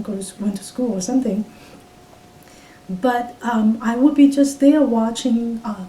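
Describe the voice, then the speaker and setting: A woman in her fifties from Taiwan with a Taiwanese accent, speaking English as a second language and recalling her past in an oral history interview, halting with many fillers and restarts. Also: mellow. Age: 50s. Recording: roomy, distant mic with faint rumble.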